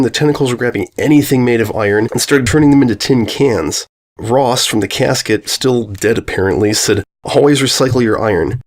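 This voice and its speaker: A man reading a scripted first-person story aloud in a casual, conversational storytelling manner. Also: medium pitch. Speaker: narrator reading a short horror-comedy story.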